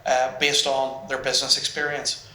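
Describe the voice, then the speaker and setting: A man's voice: medium pitch, monotone, moderate pace, pushed and bright, slight background noise. A man on a business panel, talking in a hesitant way with frequent restarts and fillers. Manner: hesitant, restarts, many ums. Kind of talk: panel discussion. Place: event room with audience. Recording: roomy hall.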